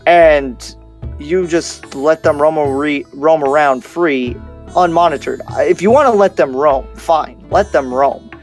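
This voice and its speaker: Man playing higher-voiced, skeptical demon slayer. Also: faint music behind, close to an everyday voice. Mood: wary, firm. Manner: halting, repeats phrases while reasoning. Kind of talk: improvised video game roleplay.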